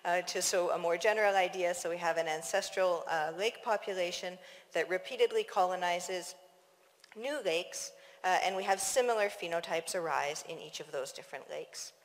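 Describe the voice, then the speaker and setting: A woman from Toronto with a Canadian accent, giving an academic conference talk in a measured, hesitant way in a large auditorium. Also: fairly low pitch. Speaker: scientist presenting at a conference. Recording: reverberant auditorium, long hall echo.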